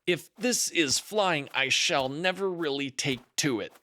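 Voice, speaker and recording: A man's voice: on the high side, a little slow, sharp tone, voice pushed up. A man voicing a small, anxious, indignant piglet in a storybook, trying to sound brave. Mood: jerky worry.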